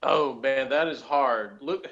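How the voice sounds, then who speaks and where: A man's voice: medium pitch, takes it slowly, creaky, a bit bright. A man chatting casually as a review panellist, mocking and exasperated, given to hyperbole. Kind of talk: casual comedic review chat. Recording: dull lo-fi audio.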